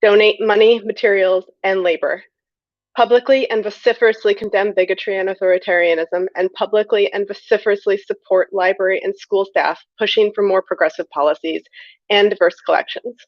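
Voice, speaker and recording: A woman's voice: medium pitch, steady tone, fairly quick, no room sound, lo-fi sound. A woman reading a prepared acceptance speech as an award winner, in an earnest, emphatic way with formal phrasing. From United States. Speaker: award winner, critic and librarian.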